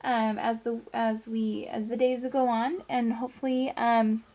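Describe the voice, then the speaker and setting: A woman teacher reading a picture book aloud to children, with expressive emphasis, drawn-out words and hushed asides. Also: on the high side.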